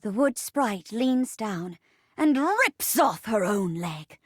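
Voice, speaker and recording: Playful fantasy game narrator, dramatic n slow, highish lively voice. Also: female voice, breathy edge, dry recording, studio quality, exaggerated voice. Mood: grandly dramatic. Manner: dramatic, deliberate. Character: playful woman narrating her own fantasy play grandly. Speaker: storyteller. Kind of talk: theatrical storybook narration in a video game.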